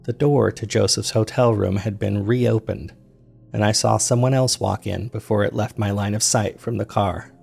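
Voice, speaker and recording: A man acting as a weary, uneasy man recounts strange events in a first-person audio drama. His voice sits on the low side and is heavily creaky, with measured, introspective delivery. Faint music plays behind him. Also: fairly even intonation, a little mellow. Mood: tired, uncertain. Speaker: narrator and host of a fiction podcast.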